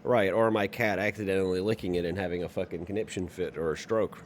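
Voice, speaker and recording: A man's voice: low voice, fairly even intonation, quick pace, a little hiss or hum. A man hosting a livestream, chatting casually with co-hosts and viewers in a crude, sweary, bantering manner. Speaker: livestream host.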